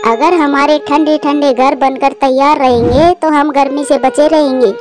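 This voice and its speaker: A woman's voice: sits high, steady tone, normal speed, darkish tone, faint music behind, lightly acted. A woman voicing a kind, sensible young female bird in a Hindi cartoon. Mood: earnest, gentle.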